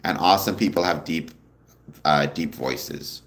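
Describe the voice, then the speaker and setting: A young man hosting a casual livestream, answering viewer comments in a relaxed, rambling way with a deep voice. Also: unhurried. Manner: relaxed, rambling, many ums, answers chat questions. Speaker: livestream host.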